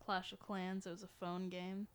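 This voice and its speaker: A woman's voice: fairly low pitch, little pitch movement, moderate pace, smooth. A young American woman co-hosting a podcast, bantering casually with slang, jokes and easy swearing. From North Carolina, United States. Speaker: podcast co-host.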